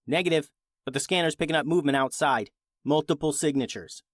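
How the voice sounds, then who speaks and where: A keen young man who flies drones and hungers for fortune, acted out by a male storyteller. He talks in a lively way in a children's space adventure. The recording is dry. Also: a little high, fairly quick, no echo, lightly acted. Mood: alert.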